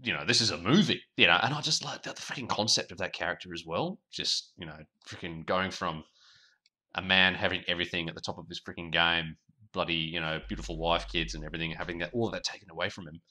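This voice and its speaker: A man co-hosting a podcast in casual, agreeable chat, sometimes halting and reaching for his words. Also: low-pitched, bright, forceful tone. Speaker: podcast co-host. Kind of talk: casual pop-culture chat.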